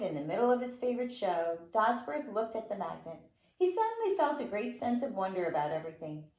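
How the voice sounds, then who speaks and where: Lady reading a kids story out loud, high voice, super expressive, kinda echoey room. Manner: animated, dramatic emphasis. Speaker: nursery school teacher reading a storybook. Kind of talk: expressive read-aloud storytelling for young children. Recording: roomy, echoing room sound.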